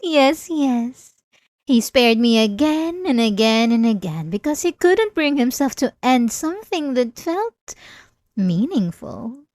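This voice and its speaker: Girl doing an obsessive old vampire lady, asmr roleplay, slow n teasing, dramatic, super dry close sound. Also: mid-range voice, darkish tone, no room sound. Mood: gleeful, insistent.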